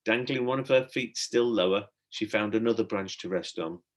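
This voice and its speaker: A man reading a children's adventure story aloud as a teacher would, in expressive storybook narration. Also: on the low side, moderate pace.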